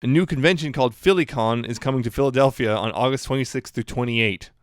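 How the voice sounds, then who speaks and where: A man's voice: medium pitch, quick pace, no echo, studio quality. A man co-hosting a podcast, talking casually through fan news items.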